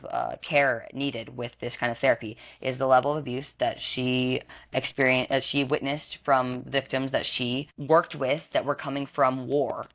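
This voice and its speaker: A woman's voice: extremely low pitch, creaky. Woman in her twenties. Earnest, rambling guest who keeps restarting her sentences. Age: 20s. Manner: earnest, rambling, self-correcting. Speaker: podcast guest. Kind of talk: candid personal testimony.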